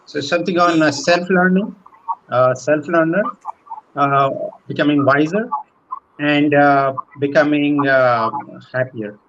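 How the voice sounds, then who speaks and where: Man, panelist, slightly high pitch, halting, tentative, dull noisy call audio. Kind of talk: unscripted panel answers. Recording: muffled, noisy online call.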